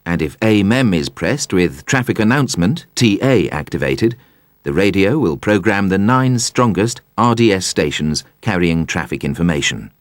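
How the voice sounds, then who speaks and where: A man reading scripted instructional narration in a measured, precise, explanatory way. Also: sits low, animated, no room sound. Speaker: narrator of a car instruction video.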